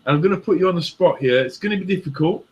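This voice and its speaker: A man's voice: high-pitched, racing, a little mellow, muffled recording. English guy co-hosting a hobby show, mixed midlands accent, bit northern bit southern, just chatting. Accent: English Midlands with northern and southern traces. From England. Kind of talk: casual chat.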